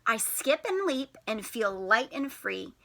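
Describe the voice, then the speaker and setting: A woman teacher reading a picture book to young children in an expressive, sing-song way, putting questions to them. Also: fairly high pitch, slow, creaky. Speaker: teacher and storytime host.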